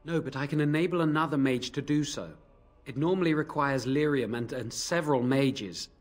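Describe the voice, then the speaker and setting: An actor playing a guilt-ridden young mage in a video game, explaining things gravely and with reluctance. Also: male voice, fairly high pitch, normal speed, close to an everyday voice. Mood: grave, reluctant. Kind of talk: dramatic video game acting.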